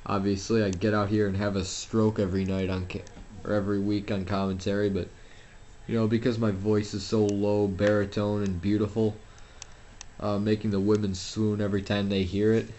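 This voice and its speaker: Young man, low-pitched, rambling game commentary, faint hiss behind. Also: level tone, normal speed, warm tone. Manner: rambling, self-deprecating, frequent fillers and restarts. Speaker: gameplay commentator. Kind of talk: casual solo game commentary.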